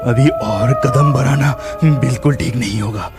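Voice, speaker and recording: An actor playing a brave but anxious young village lad, thinking aloud dramatically in a cartoon horror story. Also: male voice, medium pitch, a little slow, music in the background, close to an everyday voice. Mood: shocked, fearful.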